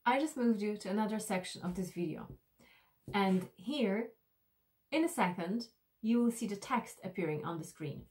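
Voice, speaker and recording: Measured woman explaining a tool to camera. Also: medium pitch, animated, a little mellow. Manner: careful, measured, frequent "uh" fillers. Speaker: e-learning designer. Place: small decorated studio room, indoors. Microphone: camera framed at desk, mid shot.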